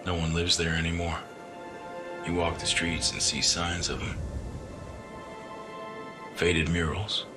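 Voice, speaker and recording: A man's voice: deep voice, little pitch movement, slow pace, pauses often. An actor playing a weary, grave man in a video game cutscene, speaking tersely about hard things. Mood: somber. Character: weary, somber man who has seen a ruined city. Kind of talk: scripted game cutscene dialogue. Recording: music behind the voice.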